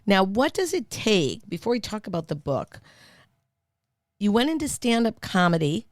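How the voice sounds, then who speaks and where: A woman hosting a casual podcast interview, playful and quick to jump in with reactions and questions. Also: medium pitch, very animated, no room sound, clean studio sound.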